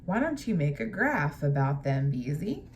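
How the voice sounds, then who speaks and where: Woman reading as an eager, slightly higher-pitched storybook animal. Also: sing-song, moderate pace, a little mellow, a little hiss or hum. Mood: eager, curious. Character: eager friendly animal character from a children's picture book.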